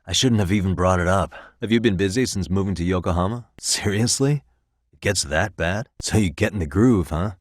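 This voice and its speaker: Laid back american detective from a video game, deep voice, wry and casual, really dry studio sound. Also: male voice, fairly quick, dry recording. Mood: friendly, wry. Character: easygoing, wry adult male private detective. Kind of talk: video game voice acting, conversational dialogue.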